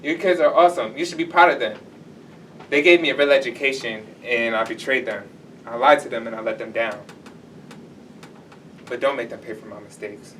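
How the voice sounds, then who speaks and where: A man's voice: medium pitch, a little fast, long pauses, fairly bright tone, lightly acted. A man performing an earnest, confessional stage monologue as a remorseful failed rocker who faked being a teacher. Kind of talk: live theatrical monologue. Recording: distant stage mic with background noise.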